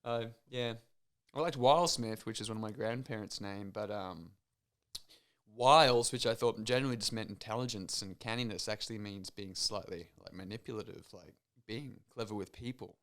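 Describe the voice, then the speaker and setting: A man's voice: lowish voice, normal speed, fairly bright tone. Laid-back joking man. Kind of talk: casual chat.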